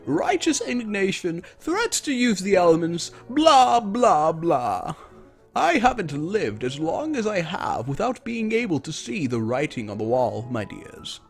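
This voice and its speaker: Manic, swooping chaos villain. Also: male voice, high-pitched, very animated, creaky, soft background music, voice pushed up, exaggerated voice. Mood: exasperated mockery. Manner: theatrical, taunting, drawn-out words. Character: manic, mocking male chaos spirit villain, theatrical schemer.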